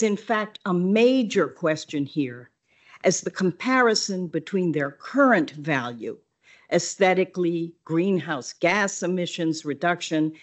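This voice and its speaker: An older woman with a big voice, giving earnest, emphatic public testimony at a podium microphone in a county meeting room. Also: fairly low pitch. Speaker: public commenter. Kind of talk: public testimony at a zoning hearing.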